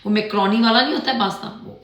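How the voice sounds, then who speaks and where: A woman's voice: a little high, fast talker, a bit bright, dull audio. Chatty woman vlogging while cooking. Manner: chatty, mixes English phrases into Hindi. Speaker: lifestyle vlogger. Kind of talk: casual chat to camera. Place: home kitchen while cooking.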